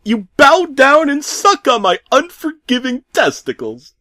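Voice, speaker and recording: Man doing a high, over-exaggerated, menacing pizza guy. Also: big pitch swings, sharp tone, no room sound, studio quality, full character voice. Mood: mocking, aggressive. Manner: drawn-out, over-exaggerated, barking delivery. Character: cheery, menacing, drawling orange-haired pizza delivery man.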